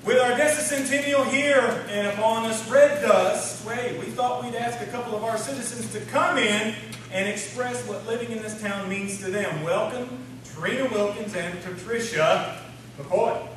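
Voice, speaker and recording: Folksy small-town radio emcee, played by a man, high-pitched, comic stage show, very echoey and noisy. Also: unhurried, a bit bright. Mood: folksy, upbeat. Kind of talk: live comic radio-play acting. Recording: echoey hall from far off, noisy.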